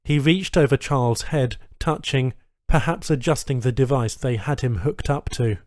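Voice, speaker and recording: A man reads a story as an audiobook narrator. His pitch is middling for a male voice, and the recording is close and dry, with no room sound. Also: normal speed, darkish tone, no room sound.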